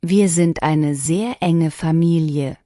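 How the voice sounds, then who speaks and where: A woman reading short lesson sentences one at a time, each clearly and deliberately, for learners to repeat. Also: low voice, warm tone, no echo, studio quality. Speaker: language lesson reader. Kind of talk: scripted shadowing practice reading.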